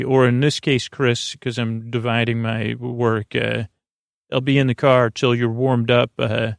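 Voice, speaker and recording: A man hosting a sleep podcast, talking gently in a meandering, halting, goofy monologue with a creaky voice. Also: medium pitch, no room sound, clean studio sound. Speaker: podcast host.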